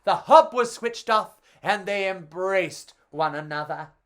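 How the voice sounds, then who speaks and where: A theatrical, expressive storyteller reading to children in an animated storytime style, woman's voice by pitch. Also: a little slow.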